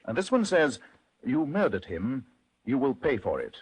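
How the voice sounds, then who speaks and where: An actor playing a shrewd, composed gentleman detective in an old-time radio drama, speaking precisely and with formal courtesy. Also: medium pitch, very animated, normal speed, husky. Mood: analytical. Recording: vintage radio drama recording.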